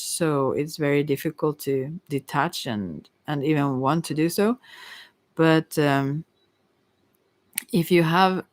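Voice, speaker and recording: A psychic tarot reader speaking in a gentle, consoling ASMR style, rambling and often correcting themselves while giving unscripted advice. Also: mid-range voice, dry recording.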